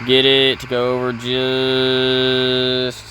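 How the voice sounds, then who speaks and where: A man giving casual commentary over farming gameplay, halting and often correcting himself as he thinks aloud. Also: medium pitch, monotone, background noise. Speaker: gaming video host.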